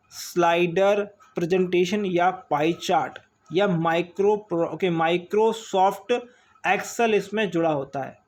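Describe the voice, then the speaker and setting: Guy with a high voice running through mcq answers, keeps saying okay, repeats stuff. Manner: asks and answers his own questions, repeats answers, frequent "okay". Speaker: exam-prep teacher. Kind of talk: online quiz lesson.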